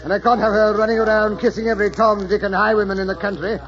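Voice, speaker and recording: Pompous, high-voiced fussy gentleman. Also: level tone, fast. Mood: indignant, fussy. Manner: haughty, blustering, fussy. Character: pompous, impatient, fussy old gentleman suitor. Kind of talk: 1940s radio acting. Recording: muffled 1940s radio recording with crowd murmur.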